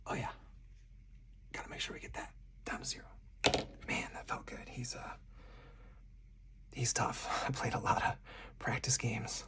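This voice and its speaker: A person whispering softly and breathily in ASMR style, narrating a solo card game move by move as the host. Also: medium pitch. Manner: hushed, narrating each move aloud step by step.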